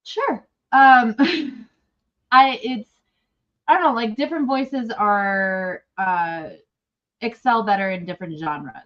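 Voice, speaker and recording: A woman co-hosting a comedy podcast with dry, teasing quips and a soft voice. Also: a little high, slow. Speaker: podcast co-host. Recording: dull lo-fi podcast audio.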